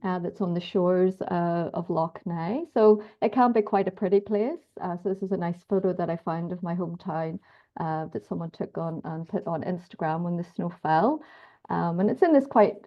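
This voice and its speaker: A woman's voice: fairly low pitch, moderate pace. Northern Irish woman, slightly dull audio. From Northern Ireland. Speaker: guest speaker, academic. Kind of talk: informal personal presentation.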